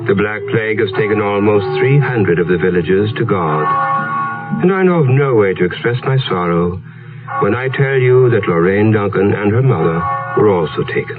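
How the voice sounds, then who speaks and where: An actor playing a grave, respectful village doctor in a radio drama, speaking in a measured, solemn way. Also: male voice, lowish voice, lively intonation, close to an everyday voice. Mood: sorrowful. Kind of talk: period radio drama acting. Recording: narrow, tinny radio recording under loud music.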